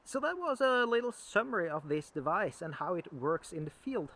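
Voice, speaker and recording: Higher-pitched man giving a casual demo. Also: lively intonation, normal speed, background noise. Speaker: amateur radio hobbyist demonstrating equipment. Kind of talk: casual unscripted video demonstration.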